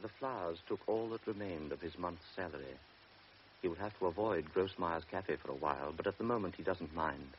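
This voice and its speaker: A man narrating a radio drama in a measured, even storytelling manner. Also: sits low. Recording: dull old radio broadcast, faint hiss.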